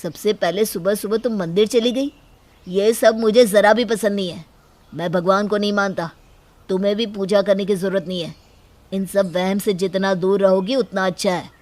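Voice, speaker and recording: A woman's voice: mid-range voice, fairly even intonation, moderate pace, a little mellow, dry recording, close to an everyday voice. Woman voicing a stern, brusque husband. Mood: scolding, annoyed. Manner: scolding, brusque. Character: stern, dismissive atheist husband.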